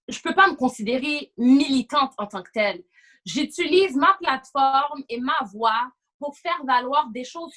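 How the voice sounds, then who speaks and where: A woman with a high-pitched voice and a Quebec French accent takes part in a casual podcast panel. She speaks with emphasis, repeats her phrases and slips English words into her sentences. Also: fairly bright tone.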